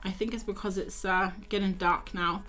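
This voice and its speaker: A woman's voice: mid-range voice, fairly even intonation, normal speed, bright, forceful tone. A young woman, tired and losing her voice, chatting casually with viewers as she paints on a live stream. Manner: chatty, self-mocking, talks to viewers while drawing. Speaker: art streamer.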